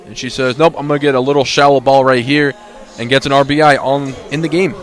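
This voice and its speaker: Male color analyst, quick delivery, conversational live sports commentary. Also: medium pitch, steady tone.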